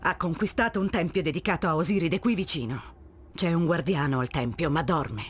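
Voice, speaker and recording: A woman's voice: deep voice, a little fast, breathy edge. An actress playing a proud, resolute Egyptian warrior woman leader, solemn and commanding.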